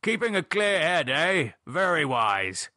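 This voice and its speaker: Man acting hearty older English toff, upper-class accent, jovial, clipped, animated pitch, audiobook character. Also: slow pace, no echo, clean studio sound, heavily acted. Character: hearty posh older Englishman, jolly society gent.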